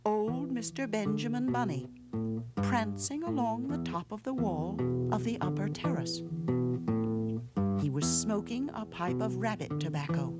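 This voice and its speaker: A woman narrating a children's storybook aloud in a measured, dramatic way, with hushed pauses. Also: sits low. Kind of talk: expressive storybook read-aloud.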